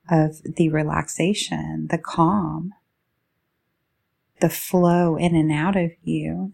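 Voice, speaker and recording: A woman guides a meditation in a fairly low voice with a gravelly, fried edge. Her tone is dark and soft. She moves very slowly, laying out soothing phrases one short piece at a time. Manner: slow, soothing delivery in short drawn-out phrases.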